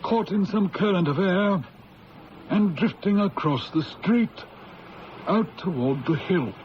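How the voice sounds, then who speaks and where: An actor playing a shrewd, unhurried police inspector in an old-time radio drama, measured and probing with a dry manner. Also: male voice, high voice, expressive. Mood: calm, knowing. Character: shrewd, unhurried male police inspector in colonial South Africa. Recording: dull lo-fi radio recording, faint hiss.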